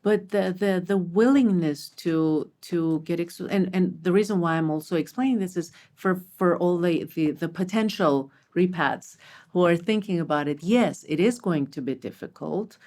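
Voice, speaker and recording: A woman's voice: fairly low pitch, normal speed. A middle-aged woman from Armenia, a repat parent, chatting casually in a panel and telling stories with many restarts. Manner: animated storytelling with many restarts.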